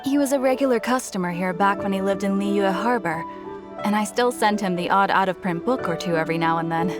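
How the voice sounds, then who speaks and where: A woman's voice: mid-range voice, fairly quick, natural voice. A woman playing a polite, matter-of-fact bookshop keeper in a video game. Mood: helpful, informative. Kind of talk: scripted video game dialogue. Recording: clear music behind the voice.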